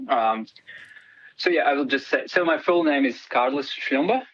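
A man's voice: medium pitch, expressive, moderate pace, a bit bright, no room sound, lo-fi sound. A man from Latvia, a PhD student guest on a radio interview, talking hesitantly with many fillers and restarts.

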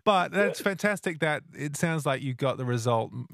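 A man's voice: a little high, expressive, moderate pace, creaky, a bit bright, no echo, clean studio sound. An Australian man hosting a breakfast radio show, asking chatty questions with easygoing stumbles. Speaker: radio host. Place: radio studio. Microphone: studio microphone.

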